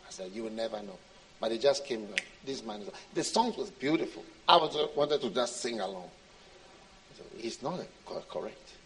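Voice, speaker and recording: A man's voice: fairly high pitch, vocal fry, slight background noise, low-quality recording. A man preaching a sermon in an informal, conversational way, repeating phrases for emphasis and speaking straight to his congregation. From Switzerland. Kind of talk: sermon with anecdotes and jokes. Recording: live audience sound behind the voice.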